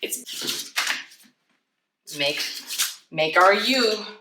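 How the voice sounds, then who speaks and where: A woman's voice: mid-range voice, expressive, very slow. A woman giving a casual craft demonstration for children, chatting playfully with self-deprecating asides. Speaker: children's library storytime presenter. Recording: roomy, distant mic, clear background noise.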